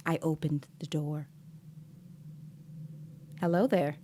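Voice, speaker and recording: A woman playing a composed, observant child interviewer, narrating an audio drama in a measured, deliberate way. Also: low voice, steady tone, warm tone, a little hiss or hum. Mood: grave, measured, then gentle. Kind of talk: scripted dramatic first-person narration. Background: musical scoring.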